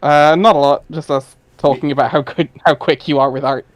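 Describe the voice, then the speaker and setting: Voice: mid-range voice, steady tone, brisk, no echo. An informal, chatty speaker talking casually and narrating for an amateur dramatic reading.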